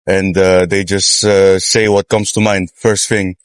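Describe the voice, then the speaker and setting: A man's voice: deep voice, level tone, unhurried, no echo. A young man from the Philippines answering a street interview, hesitant with many fillers. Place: outdoors on a street.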